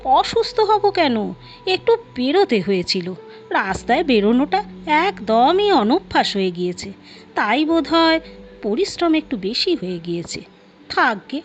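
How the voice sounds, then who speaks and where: A woman's voice: high-pitched, very animated, unhurried, a little hiss or hum. A woman storyteller voicing a suspicious, insistent middle-aged wife and mother in a nagging manner. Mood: defensive. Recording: dull lo-fi audio, music behind.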